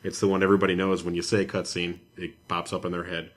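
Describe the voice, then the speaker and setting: A man co-hosting a casual podcast about video games, explaining things in a halting way. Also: sits low, little pitch movement. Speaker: podcast co-host.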